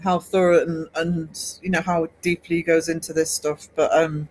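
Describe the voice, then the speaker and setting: A man's voice: fairly high pitch, flat delivery, normal speed, poor audio. A man hosting a casual livestream, chatting and rambling to his viewers with lots of fillers and restarts. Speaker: art livestream host. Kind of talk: casual chat reading live comments.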